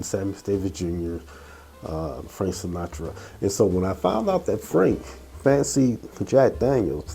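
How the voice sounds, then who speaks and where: A man's voice: sits low, creaky, warm tone. A man in his sixties from Detroit, hosting a casual whiskey review with a stuffy nose from a cold, talking in an easygoing, chatty way. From Detroit, USA. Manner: easygoing and chatty, with frequent uh and you know. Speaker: whiskey reviewer and channel host. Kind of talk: casual spoken review and storytelling.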